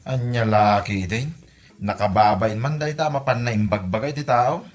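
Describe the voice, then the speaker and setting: A man's voice: fairly low pitch, moderate pace, natural voice. A man playing an exasperated, embarrassed village husband in a comedy audio drama, full of indignant exclamations. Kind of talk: comedy radio drama acting.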